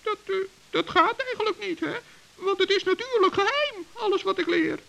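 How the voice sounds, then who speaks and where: A man playing a small, proud, excitable forest gnome in a children's radio play, chatty and self-important, with a squeaky voice. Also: moderate pace, dull audio, exaggerated voice. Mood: earnest. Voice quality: high, creaky, squeaky. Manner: chatty, self-important, stammers when flustered.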